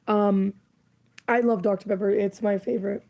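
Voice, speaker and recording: Hoarse, gravelly-voiced woman streamer. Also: medium pitch, steady tone, normal speed, dark tone. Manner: rambling, giggly, full of fillers. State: hoarse, losing her voice. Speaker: livestreamer. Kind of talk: casual live-stream chat.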